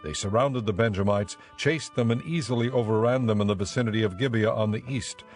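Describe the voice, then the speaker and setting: A man's voice: fairly low pitch, a little fast, faint music behind. A man reading scripted dramatic Bible narration as the narrator.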